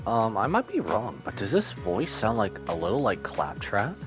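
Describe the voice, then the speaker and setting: A man's voice: lowish voice, wildly swooping pitch, normal speed. A man giving casual live reactions to a game as a streamer, sympathetic and emotionally reactive. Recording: faint game music behind.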